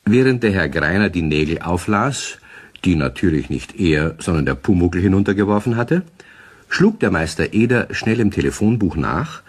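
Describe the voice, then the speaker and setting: A man's voice: sits low, slightly rough, exaggerated voice. A man narrating a children's radio play as a genial storyteller, in scripted storybook style.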